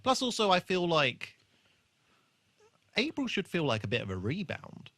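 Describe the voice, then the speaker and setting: A man's voice: on the high side, wildly swooping pitch, moderate pace, bright, forceful tone, no room sound. A man co-hosting a podcast in casual chat, talking in a hesitant, conversational way with trailing thoughts. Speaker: podcast co-host. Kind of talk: casual chat, TV re-watch discussion.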